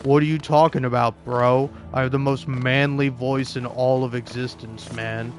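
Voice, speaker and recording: A man's voice: mid-range voice, level tone, normal speed, close to an everyday voice. A man mocking a fake-macho young guy. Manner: boastful, mocking. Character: mocked young man faking a deep macho voice.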